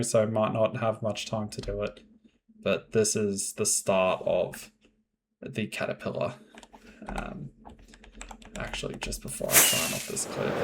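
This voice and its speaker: A man hosting his own Minecraft building videos, chatting casually in a rambling, monotone way and laughing often. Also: a little hiss or hum. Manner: monotone, fairly even pitch. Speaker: Minecraft builder and channel host. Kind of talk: casual gameplay commentary and voiceover.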